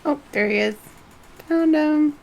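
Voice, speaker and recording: A woman's voice: high voice, a little slow, gravelly, darkish tone, slight background noise. A woman streaming a game, giving casual commentary and muttering to herself in short phrases. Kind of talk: casual live gameplay commentary.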